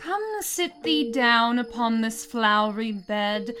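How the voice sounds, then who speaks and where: A woman plays an enchanted fairy queen in a Shakespeare radio drama. The voice is high and unhurried, shaping the verse lyrically with royal feeling. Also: animated, over music. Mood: doting. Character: doting, regal fairy queen under a love spell. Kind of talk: amateur Shakespeare radio play acting.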